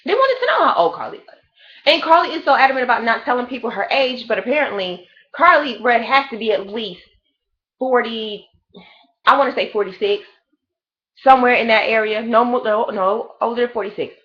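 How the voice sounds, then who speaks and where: A woman's voice: on the high side, lively intonation, a little fast. Woman in her 30s ranting about a reality show, swears a lot, rambles, dull lo-fi sound. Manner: blunt, profane, rambling. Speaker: reality TV reviewer. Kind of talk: casual gossip commentary.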